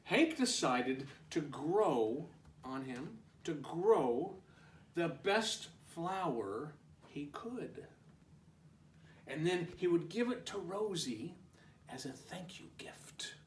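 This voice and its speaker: A man's voice: a little high, lively intonation, takes it slowly, slight background noise. A man reading a children's picture book aloud as storytime host, expressively, with little asides to young listeners. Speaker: storytime reader, preschool teacher. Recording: roomy echo, distant microphone.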